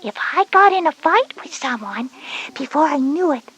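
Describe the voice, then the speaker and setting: A woman voicing an anxious, hesitant little girl starting kindergarten in a children's educational audio drama, with a breathy voice. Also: high voice, lively intonation, moderate pace, a bit bright, poor audio. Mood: worried, reluctant. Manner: hesitant, timid.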